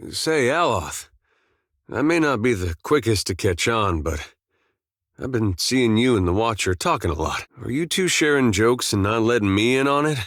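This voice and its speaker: An actor playing an easygoing, loyal farmer turned soldier in a video game, with laid-back folksy phrasing and dry humour. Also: male voice, mid-range voice, lively intonation, moderate pace, fairly bright tone, no room sound, close to an everyday voice. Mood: wry, teasing. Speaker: video game companion character. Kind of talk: game dialogue and battle barks.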